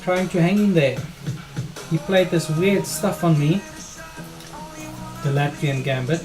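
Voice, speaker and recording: A man's voice: a little high, slow. South African man chatting over music. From South Africa and Australia. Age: middle-aged. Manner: informal, matey, thinking aloud. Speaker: chess streamer and player. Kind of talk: casual live game commentary and chat.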